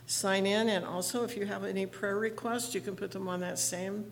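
A woman pastor with a rough voice this morning, giving friendly church announcements with many fillers and leading the liturgy. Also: medium pitch, fairly even intonation, normal speed, a little hiss or hum. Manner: friendly, chatty, with frequent fillers. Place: church sanctuary.

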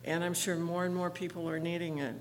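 Woman pastor with a rough voice. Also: on the low side, little pitch movement, a little fast, slight background noise. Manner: friendly, chatty, with frequent fillers. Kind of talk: church announcements and liturgy. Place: church sanctuary.

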